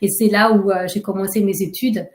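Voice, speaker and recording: Austrian woman, German native, chatty guest on an echoey video call, mid pitch. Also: fairly quick. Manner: relaxed, chatty storytelling with frequent fillers. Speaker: alumna guest. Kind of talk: webinar interview.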